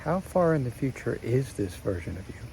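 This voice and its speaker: A man narrating a guided meditation in a slow, soothing way with long pauses. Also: mid-range voice, big pitch swings, creaky, a little mellow, slight background noise, rough recording.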